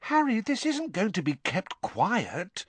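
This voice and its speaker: A man's voice: fairly high pitch, huge pitch swings, moderate pace, slightly rough, dry recording, voice pushed up, full character voice. A man reading an audiobook, voicing an earnest, worried teenage girl who is bossy and sensible. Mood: serious.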